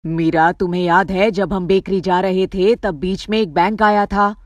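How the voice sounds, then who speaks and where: A woman's voice: lowish voice, steady tone, normal speed, no room sound, voice pushed down, natural voice. A woman voicing a serious male investigator who reasons step by step in a story. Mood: reasoning. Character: serious, deductive male investigator, urgent and decisive.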